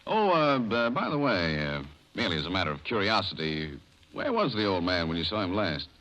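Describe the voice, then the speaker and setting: An actor playing a brisk, courteous but probing police officer in old radio drama style, plainspoken and official. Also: male voice, low-pitched, very animated, fairly bright tone. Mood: affable. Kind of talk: 1940s radio acting.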